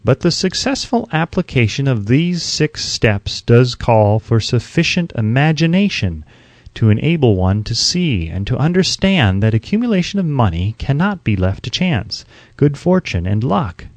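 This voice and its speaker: A man narrating a scripted self-help audiobook in a measured, emphatic, instructional way. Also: mid-range voice, sing-song, no echo.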